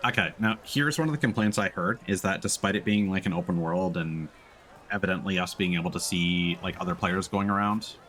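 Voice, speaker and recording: A man's voice: deep voice, a little fast, a bit bright, a little hiss or hum. A man doing casual live game commentary, musing and thinking aloud as he plays. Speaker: game streamer. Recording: faint background game ambience. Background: game ambience.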